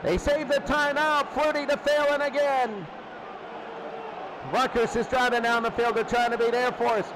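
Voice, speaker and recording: A man's voice: very high voice, level tone, moderate pace, crowd noise. A man doing play-by-play radio-style football commentary in short, clipped, repeated phrases. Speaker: play-by-play sports announcer. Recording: noisy recording.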